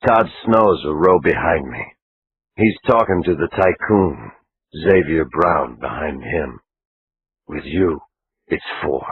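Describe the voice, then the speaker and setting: A man voicing a calm, determined male hostage planning to fight back, in an audiobook. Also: extremely low pitch, slow, a little hiss or hum, pitched below the performer's own voice, full character voice. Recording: tinny phone-like sound.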